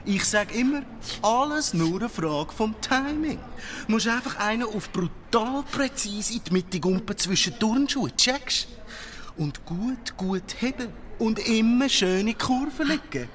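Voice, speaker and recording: Cockroach daredevil, cocky and kind underneath, Swiss German street slang, drawn-out and very animated. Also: medium pitch, slight background noise. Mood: eager. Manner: slangy hip-hop talk sprinkled with English. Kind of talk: children's radio play acting.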